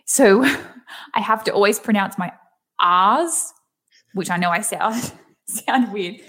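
A woman's voice: mid-range voice, slow, fairly bright tone. A young woman with a thick Australian accent, chatting casually and giggling as a guest. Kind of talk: casual chat.

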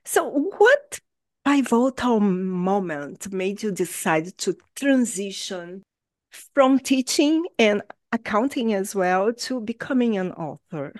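A woman's voice: mid-range voice, big pitch swings, a little mellow, no echo. A woman hosting a podcast interview, affirming her guest and asking questions. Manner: affirming, slow and deliberate. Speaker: podcast host.

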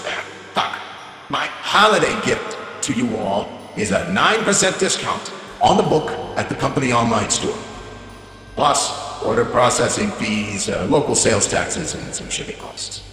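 A man's voice: a little high, bright, forceful tone, echoey room, in a large hall. An actor playing a greedy, foul-mouthed male corporate CEO giving a bombastic holiday speech in an audio drama. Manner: bombastic, profane, dismissive. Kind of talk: audio drama comedy monologue.

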